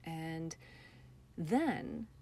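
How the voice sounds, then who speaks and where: Woman with a slightly low voice. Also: animated, very slow, dark tone. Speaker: playwright and director, podcast guest. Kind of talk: casual interview conversation. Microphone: computer call, also recorded on her phone.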